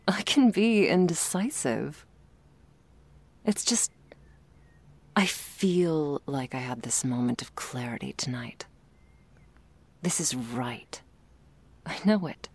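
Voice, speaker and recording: A woman playing a confident, teasing but vulnerable girlfriend in an intimate ASMR romance roleplay, confiding tenderly and haltingly, often dropping into breathy whispers. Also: extremely low pitch, darkish tone, exaggerated voice. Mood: nervous, loving.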